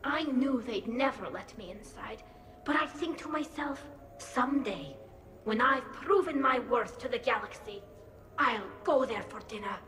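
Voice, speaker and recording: An actress playing an earnest, playful young female alien in a video game, with a wistful, gently scolding manner. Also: on the high side, animated, measured pace, reverberant, soft background music, low-quality recording. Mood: wistful, reproachful.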